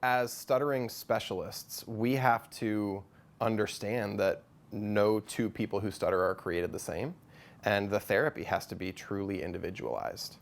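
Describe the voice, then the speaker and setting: Calm man with a gravelly low voice. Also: unhurried. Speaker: stuttering specialist. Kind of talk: measured promotional interview.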